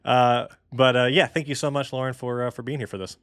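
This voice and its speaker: A man's voice: mid-range voice, fairly even intonation, fairly quick, a bit bright, dry recording, clean studio sound. A man hosting a podcast, talking casually with many hesitant fillers. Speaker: podcast host. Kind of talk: casual interview chat.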